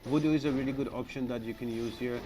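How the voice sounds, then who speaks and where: A man with a medium-pitched voice guides listeners through a video game. His delivery is instructional walkthrough commentary. There is clear noise behind his voice. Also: steady tone, quick pace.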